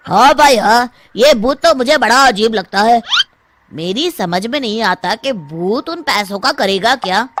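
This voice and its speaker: A woman's voice: fairly high pitch, background noise. A woman playing an anxious, superstitious village man in a cartoon story, fretful and exclamatory.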